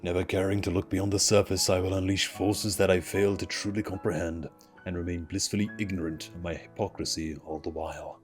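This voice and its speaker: A man reads out a learned fantasy game scholar with a low voice. He is solemn and grave, speaking in formal, measured phrases over game music. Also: level tone, natural voice. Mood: solemn, resolute. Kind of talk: reading fantasy game dialogue aloud.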